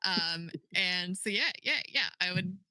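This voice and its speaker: A woman's voice: lowish voice, animated, takes it slowly, pushed and bright, dry recording, rough recording. A woman guest player giving a casual, jokey sign-off with plugs. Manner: informal, jokey.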